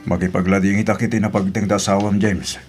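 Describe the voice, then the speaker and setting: A man with an Ilocano accent playing a supportive cousin and devoted husband in a radio drama, animated and earnest. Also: sits low, fast, loud music behind the voice, natural voice. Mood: sympathetic.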